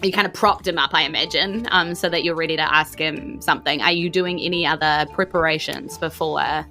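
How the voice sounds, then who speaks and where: A woman's voice: sits low, fairly even intonation, brisk, pushed and bright, soft background music. A woman with a New Zealand accent, running a casual tabletop roleplaying game as game master.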